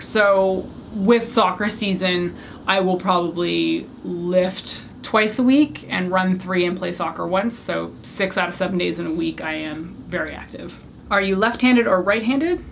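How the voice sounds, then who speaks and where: A young woman speaks straight to her camera in a candid, chatty way. French was her first language, though she talks easily in English now. Her voice sits fairly low, with a faint hum of room ambience underneath. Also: measured pace, creaky. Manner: chatty, candid, self-deprecating. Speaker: beauty YouTuber. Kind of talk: casual Q&A tag video.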